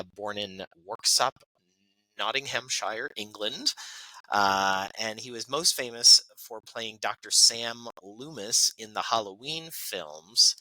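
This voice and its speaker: A man's voice: mid-range voice, pushed and bright, dry recording, low-quality recording. A man co-hosting a podcast, reading out birthday notes in a chatty way with fillers and asides. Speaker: podcast co-host. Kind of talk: casual birthday segment reading.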